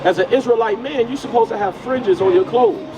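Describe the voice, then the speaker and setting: A man's voice: very high-pitched, a little fast, low-quality recording. A man preaching on the street who questions a listener directly and keeps asking whether he is understood. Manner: direct, questioning, repeats "you get what I'm saying". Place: outdoors on a city street. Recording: outdoor street noise. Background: traffic noise.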